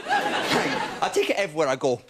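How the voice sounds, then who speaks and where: High, lively young Scottish man. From Scotland. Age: 20s. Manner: quick, animated storytelling with punchlines. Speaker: stand-up comedian. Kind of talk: stand-up set. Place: TV studio stage before a live audience. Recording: audience laughter and crowd noise.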